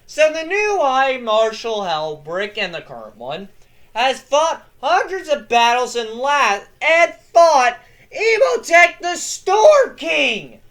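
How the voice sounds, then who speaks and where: This young man narrates lore from a script in a relaxed, slow-paced way. He gets excitable and swears freely. He often breaks into loud yelling when a story grips him. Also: very high voice. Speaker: lore video host.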